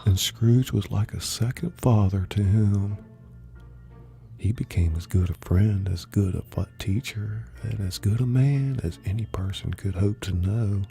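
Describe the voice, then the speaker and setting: A man playing a dark-voiced, gentle storyteller. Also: on the low side, a little slow, creaky, faint music behind. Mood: reassuring, reflective. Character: kindly storyteller narrator recounting Scrooge's tale to young listeners.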